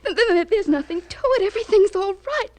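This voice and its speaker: An actress playing a trusting, anxious, fiercely loyal wife in a 1950s radio crime drama, earnest and emotional in delivery. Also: female voice, extremely high pitch, animated, fast talker. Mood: shaken.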